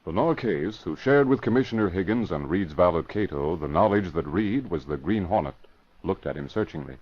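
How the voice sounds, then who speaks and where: A man's voice: low-pitched, animated, a little fast, breathy edge. A man narrating an old-time radio mystery in a dramatic scripted style. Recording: muffled vintage radio recording.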